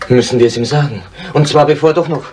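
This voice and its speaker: A man's voice: medium pitch, fast talker, close to an everyday voice. An actor playing a calculating man in a 1970s radio crime drama, speaking in a terse, urging way. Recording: faint hiss on old radio recording.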